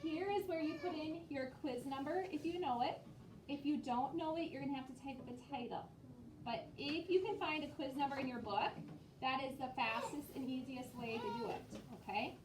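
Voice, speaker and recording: High-voiced woman teacher in an echoey classroom. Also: moderate pace. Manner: directive, guiding step by step. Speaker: elementary school teacher. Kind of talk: classroom instruction to children. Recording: echoey room, distant mic, faint noise.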